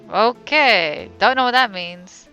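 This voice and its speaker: A woman's voice: medium pitch, expressive, slow, pushed and bright, highs cut off, faint music behind. A woman giving casual let's play commentary, rambling and thinking aloud in a wry way. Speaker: gamer, let's play host.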